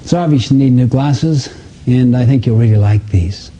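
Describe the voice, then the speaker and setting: A man's voice: mid-range voice, moderate pace, mellow. A man giving a motivational seminar talk to a live audience, emphatic, with rhetorical questions and repetition. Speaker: seminar speaker.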